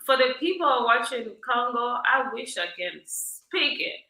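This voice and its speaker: A woman's voice: a little high, expressive, measured pace, pushed and bright, reverberant, poor audio. A woman from Zambia, a business guest, giving short, hesitant answers in a casual interview. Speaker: guest, entrepreneur.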